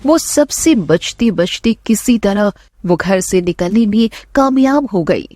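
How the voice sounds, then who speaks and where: Slow-paced woman narrating a romance drama. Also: mid-range voice, lively intonation, mellow, dry recording, clean studio sound. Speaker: story narrator.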